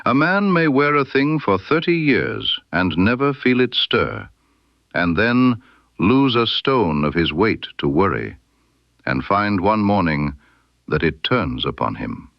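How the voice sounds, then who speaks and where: An incisive, brooding Victorian detective, voiced by a male audiobook reader. He speaks low and deliberately, lifting and dropping his pitch to press each point. The sound is dry and close. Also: big pitch swings, gravelly, no room sound, lo-fi sound, heavily acted. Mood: musing, intent.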